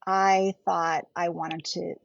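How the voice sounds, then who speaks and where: A middle-aged woman whose first language is German, speaking as a political scientist guest on a podcast in a thoughtful, measured way. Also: fairly low pitch, little pitch movement, creaky, dry recording. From India. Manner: thoughtful, measured, often rephrasing. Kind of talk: podcast interview. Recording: dull lo-fi podcast audio.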